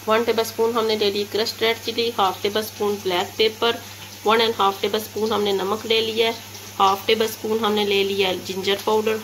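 Flat-voiced woman narrating a recipe over sizzling. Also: mid-range voice, noisy background. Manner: steady, instructive, repeats "ye dekhiye". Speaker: cooking channel host. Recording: loud kitchen sizzle behind.

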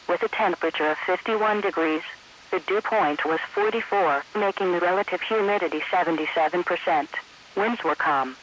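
A synthetic man's voice reading an automated weather report in measured, clipped phrases. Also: high voice, fairly bright tone, low-quality recording. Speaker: weather radio announcer. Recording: hissy weather radio broadcast. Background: faint radio hiss.

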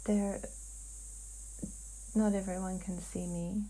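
A woman describing a trance vision in a dreamlike way as a guided hypnosis client, halting and hushed, with long pauses. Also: mid-range voice, little pitch movement, husky, low-quality recording.

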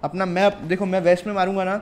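A man's voice: sits high, flat delivery, quick pace, a little hiss or hum. A young man with an Indian accent giving casual, chatty commentary while playing a mobile shooter, talking to his viewers. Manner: chatty, addresses viewers as guys and brother. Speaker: mobile game streamer.

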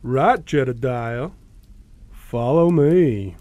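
Slow, dim Southern servant. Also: male voice, medium pitch, warm tone, no room sound. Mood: creepily obliging. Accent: American South. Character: strong, dim-witted, creepy Southern household servant lad. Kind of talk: audio drama acting.

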